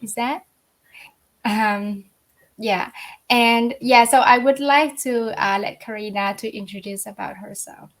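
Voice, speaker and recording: A young adult woman from Vietnam hosting a casual business interview, speaking politely with many pauses and fillers. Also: fairly high pitch. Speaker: host.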